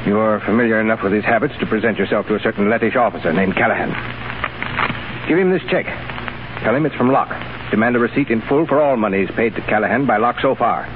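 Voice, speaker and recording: An actor playing a curt, commanding secret police chief in a 1930s radio drama. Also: male voice, mid-range voice, lightly acted. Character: cold Soviet secret police chief, man. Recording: tinny, hissy 1930s radio broadcast.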